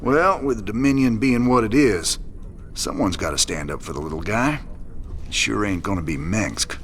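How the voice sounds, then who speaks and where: Deep-voiced rebel space commander. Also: male voice, animated, moderate pace, fairly bright tone, a little hiss or hum. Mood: easygoing, wry. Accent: American. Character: weary, principled rebel space commander, gruff man. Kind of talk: video game cutscene acting.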